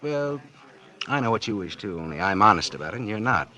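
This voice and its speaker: A man's voice: lowish voice, big pitch swings, brisk, bright, forceful tone. A man playing a bitter, scheming publisher in a 1940s radio drama, sardonic and slangy, with an American accent and a hard-boiled delivery. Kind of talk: 1940s radio acting, first-person noir narration. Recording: old 1940s radio recording with music.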